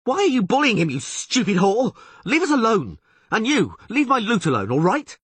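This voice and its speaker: A man reading a story, voicing a panicking male poet who yells shrilly and fretfully. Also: wildly swooping pitch, moderate pace, husky, dry recording, full character voice. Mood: frantic. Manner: shrill yelling.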